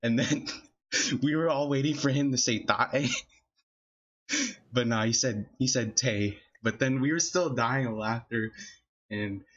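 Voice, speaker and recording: A man's voice: medium pitch, unhurried, pauses often, a bit bright. Young male podcast host, laughs through his rambling stories, dull lo-fi sound. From Filipino family, California. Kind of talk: casual storytelling chat.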